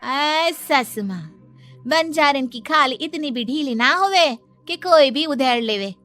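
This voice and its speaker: A woman plays a young nomad bride in a cartoon. Her voice is high and bright. She is sassy and defiant, stretching out her taunts. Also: lively intonation, takes it slowly, soft background music, heavily acted. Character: feisty young nomad bride, daughter-in-law. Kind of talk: Hindi cartoon story acting.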